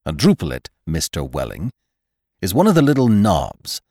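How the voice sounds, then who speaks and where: A man's voice: deep voice, huge pitch swings, moderate pace, mellow, dry recording, studio quality, heavily acted. A man voicing a pompous, exuberant elderly doctor in a storybook reading, with an emphatic, lecturing, oratorical manner. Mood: severe, lecturing. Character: pompous, exuberant elderly male doctor preaching utopian order.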